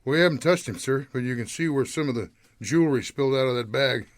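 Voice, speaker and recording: An actor playing a dutiful, deferential junior police officer in a radio drama. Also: male voice, medium pitch, quick pace, no echo, natural voice. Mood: brisk, reporting.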